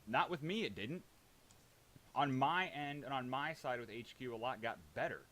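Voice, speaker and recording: A man's voice: medium pitch, moderate pace, bright, forceful tone. A man arguing informally, defensive and combative, with frequent swearing. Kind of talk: heated informal argument. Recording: hissy background noise.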